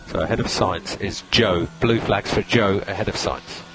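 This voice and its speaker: A man with a low voice works as a race engineer on team radio. He gives calm, clipped instructions and repeats them methodically. The radio link is clearly noisy, with vehicle sound behind him. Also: normal speed.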